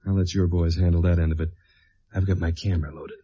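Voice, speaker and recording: A man's voice: low voice, flat delivery, fast talker, warm tone, husky. An actor playing a tough, confident, wry male investigator in a 1950s-style radio detective drama, plain-spoken and self-assured. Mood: dry, confident. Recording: dull vintage radio recording with music.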